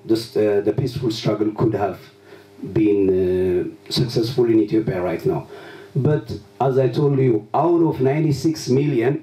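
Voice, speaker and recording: A man puts a long interview question, stopping and restarting often. His voice is mellow and dark. He sounds set back from the mic in a roomy space. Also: medium pitch, far from the mic. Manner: halting, many restarts and fillers.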